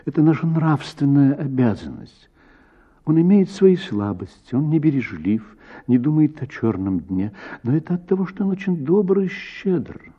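A man's voice: a little high, big pitch swings, moderate pace, slightly rough, highs cut off, voice pushed up. A man voicing an earnest, pleading woman in a read short story.

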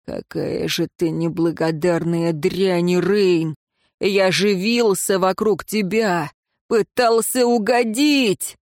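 A woman voicing a furious, jealous male prison warden, slow and growling in a dry recording. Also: lowish voice, steady tone, a little mellow, no echo.

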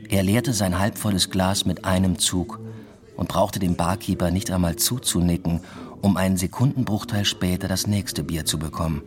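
Radio play role: reflective young male student, played by a male actor, low understated voice, evasive and reserved. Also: fairly even intonation, normal speed, over music, lightly acted. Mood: reflective narration. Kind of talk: radio drama, first-person literary narration and dialogue.

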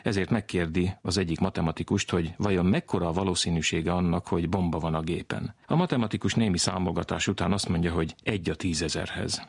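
Low gravelly guy narrating a science show, husky, really dry close sound. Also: few pauses, no echo. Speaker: science radio presenter. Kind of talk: scripted narration, telling a joke.

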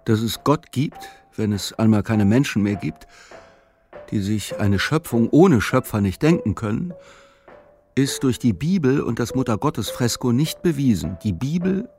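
A man narrating a literary radio play in a measured, sober, reflective way. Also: medium pitch, lively intonation, a little mellow, faint music behind, close to an everyday voice.

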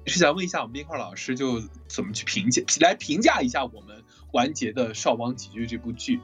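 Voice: medium pitch, animated, fairly quick, faint music behind. A host of a cast livestream chatting casually and teasing in a playful way. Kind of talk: casual hosting chat with teasing.

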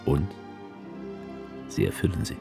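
A man's voice: a bass voice, very animated, unhurried, creaky, heavily acted. A man in a radio play, speaking with dry irony as the author figure. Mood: wry. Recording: music bed under the voice.

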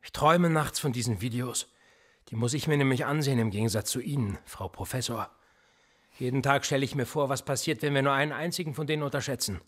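A male actor voices a police interrogator in a radio drama, sarcastic and quietly threatening. His sits at a medium pitch with a rough, husky grain. He probes and provokes, dry and needling. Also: fast, close to an everyday voice. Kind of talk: German radio play acting.

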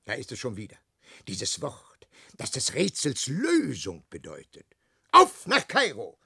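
An actor playing a cryptic, sly stranger who speaks in riddles in a radio play, in a theatrical, mysterious manner. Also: male voice, medium pitch, huge pitch swings, a little slow, creaky, pushed and bright, slightly rough, no echo, clean studio sound, exaggerated voice.

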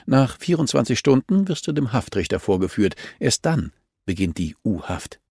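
A man voicing a cool, relentless policewoman in a crime audiobook, calm and insistent as she accuses a suspect. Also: mid-range voice, normal speed, gravelly, husky, no echo, studio quality, pitched above the performer's own voice, exaggerated voice. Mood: firm.

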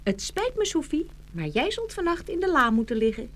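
A woman's voice: high voice, big pitch swings, brisk, a bit bright. A woman playing an eager, caring little girl with a childlike manner in a children's fairy-tale audio play. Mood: bossy, caring. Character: lively, caring little girl, curious and bossy.

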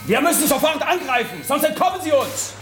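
A harried, combative security chief in a radio drama. His high, swooping voice rattles out brusque in an echoing space with music behind. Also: very animated, a little fast. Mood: exasperated. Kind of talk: German science-fiction radio play acting.

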